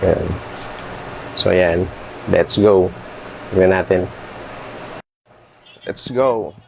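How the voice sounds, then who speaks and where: A man's voice: low voice, extremely slow, gravelly, darkish tone, a little hiss or hum, poor audio. A man with a Filipino accent narrating a casual product demo vlog, talking informally and directly to viewers. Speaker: vlogger presenting a product installation.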